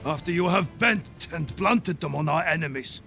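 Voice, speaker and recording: A wry, gloomy Viking smith in a cinematic game. His forceful, creaky voice grumbles dryly over faint music. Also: fairly high pitch, normal speed. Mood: wry, glum. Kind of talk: dramatic game acting.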